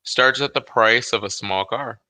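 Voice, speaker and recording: A man's voice: medium pitch, measured pace, sharp tone, dry recording. A man co-hosting a livestream, chatting casually with slang and some swearing. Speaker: livestream co-host.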